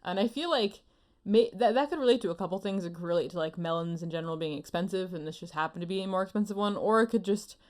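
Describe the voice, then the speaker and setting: Female American podcast panelist, mid-range pitch, casual delivery, working through ideas aloud. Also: fast, darkish tone. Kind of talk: casual chat about anime.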